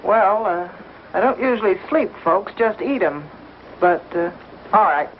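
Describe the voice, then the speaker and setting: A woman's voice: medium pitch, animated, a little slow, close to an everyday voice. An actress playing a blunt, pessimistic, folksy eating-house woman in a 1950s TV western. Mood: grudging. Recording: very noisy muffled old TV soundtrack.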